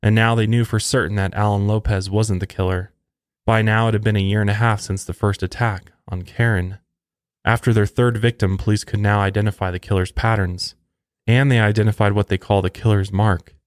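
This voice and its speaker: A man's voice: on the low side, steady tone, fairly quick, no room sound, clean studio sound. An American man reading scripted true crime narration as a podcast host.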